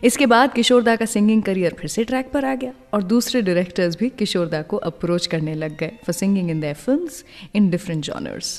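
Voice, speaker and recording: A woman's voice: medium pitch, normal speed, a little mellow. An Indian woman hosting a radio show, telling stories and introducing songs conversationally, mixing in English words. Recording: long reverb, music behind voice. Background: background music.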